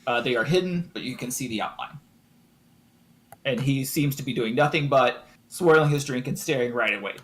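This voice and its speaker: A man narrating a tabletop game as game master, improvising with frequent ums and pauses. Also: mid-range voice, fairly bright tone.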